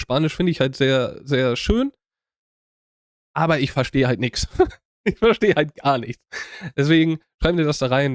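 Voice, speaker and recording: A young man hosting his own channel, talking casually to his viewers and rambling with many fillers and restarts in relaxed slang. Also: on the high side, no room sound, clean studio sound. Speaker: YouTube reaction channel host.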